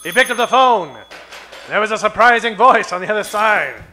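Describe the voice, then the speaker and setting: A man's voice: sits high, expressive, normal speed, sharp tone. A man playing a comic old-time radio announcer, narrating and announcing with showy delivery. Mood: dramatic narration.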